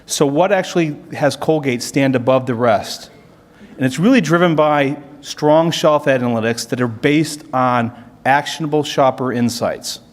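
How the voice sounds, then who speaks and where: A man's voice: mid-range voice, a little slow. Man presenting in a reverberant hall. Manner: explanatory, poses questions then answers them. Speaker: corporate analytics presenter. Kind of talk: business conference presentation.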